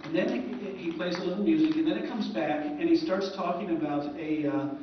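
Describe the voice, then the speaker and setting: Male history presenter, slightly high voice, halting informal lecture, distant mic in reverberant room. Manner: halting, rambling delivery. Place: convention presentation room indoors. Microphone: distant recorder in the room. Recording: very echoey, distant, dull, noisy room.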